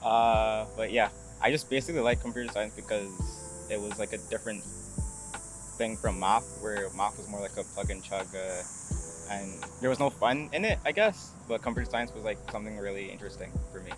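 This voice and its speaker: A man's voice: lowish voice, fairly quick, poor audio. A young man from Barbados, a student interview guest, chatting casually and loosely with lots of hedging. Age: young adult. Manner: informal, hedging, peppered with "like". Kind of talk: casual chat.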